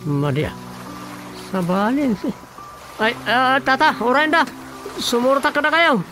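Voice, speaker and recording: A man's voice: extremely high pitch, animated, a little slow, low-quality recording. A man acting a flustered, gossipy village councilman in an Ilocano radio comedy-drama, exclaiming and hesitating conversationally. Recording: music bed under the dialogue. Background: background music.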